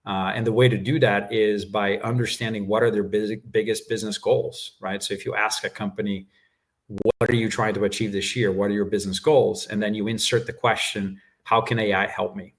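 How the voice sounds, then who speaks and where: A man whose first language is Portuguese, calmly explaining his work as a guest in a business interview, with frequent fillers. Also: lowish voice. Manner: measured explanations with frequent "uh" and "right?". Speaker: guest executive.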